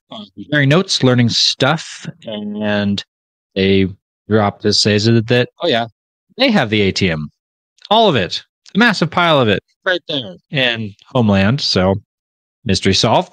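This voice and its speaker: A man of middle pitch co-hosting a podcast, running through a chapter summary in a loose, informal way. He restarts his sentences often, and his voice sounds dry and close to the mic. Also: fairly bright tone, no room sound. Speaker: podcast co-host.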